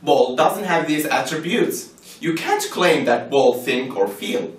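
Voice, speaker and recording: A man's voice: a little high, animated, slow. A man giving a scripted, persuasive argument with rhetorical questions in an emphatic, argumentative manner. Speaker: presenter of a religious argument. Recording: echoey room, distant from the mic.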